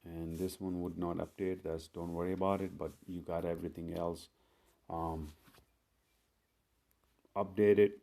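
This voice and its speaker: Slow, low-voiced man walking through phone steps. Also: flat delivery, creaky, warm tone. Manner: step-by-step, unhurried, plain instructions with fillers. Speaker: tech tutorial host. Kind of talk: screen-recorded phone tutorial.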